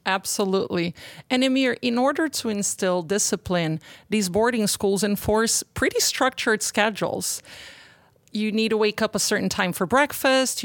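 A woman's voice: mid-range voice, fairly bright tone, dry recording. A woman hosting a podcast, reading polished prepared introductions and questions. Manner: polished, reads prepared lines. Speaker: podcast host. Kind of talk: scripted interview questions.

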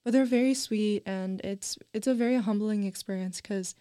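A woman's voice: mid-range voice, normal speed, mellow. A woman in her twenties, a college student chatting casually on a panel, talkative and emphatic with frequent laughs. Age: 20s. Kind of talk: casual group chat.

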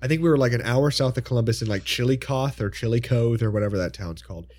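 A young man co-hosting a podcast, telling stories in animated, casual chat and swearing freely. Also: mid-range voice, quick pace. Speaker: podcast co-host. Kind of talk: casual chat among friends.

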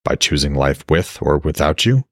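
A man's voice: a bass voice, lively intonation, moderate pace, no room sound, studio quality, pitched below the performer's own voice. A man voicing a devoted, troubled warrior in a fantasy audiobook, speaking in a subdued, earnest way. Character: devoted blind warrior god, long-lived, troubled lover. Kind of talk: fantasy audiobook character dialogue.

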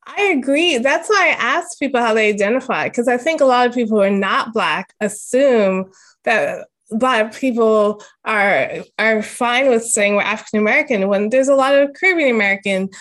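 A woman's voice: a little high, moderate pace, fairly bright tone. A woman in her thirties hosting a podcast interview, chatting casually, agreeing and sharing personal stories. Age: 30s. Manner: conversational, agreeing, tells personal anecdotes.

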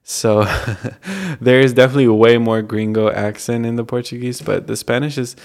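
A man's voice: mid-range voice, normal speed, darkish tone. A young man hosting a casual solo podcast, rambling with lots of fillers. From United States, Colombian family. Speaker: podcast host.

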